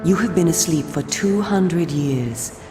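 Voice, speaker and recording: An actress voicing a solemn, coolly persuasive female vampire resistance agent in a video game, speaking in a formal, measured, theatrical way. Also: low-pitched, animated, reverberant, music in the background. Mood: grave, expository. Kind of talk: scripted video game cutscene acting.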